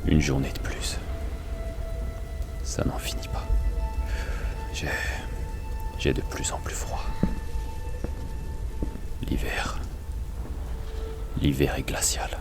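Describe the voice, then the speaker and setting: A man playing a tormented, exhausted, despairing man in a psychological thriller audio drama, speaking haltingly in a breathy whisper and repeating his words. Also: a bass voice, completely flat delivery, loud music behind the voice, heavily acted. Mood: weary, brooding.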